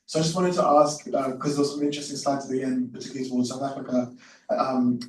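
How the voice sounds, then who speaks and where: A man's voice: mid-range voice, darkish tone. A young adult man, a student in an audience, asking a question in a hesitant, roundabout way at a public talk. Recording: echoey room from far off, faint noise.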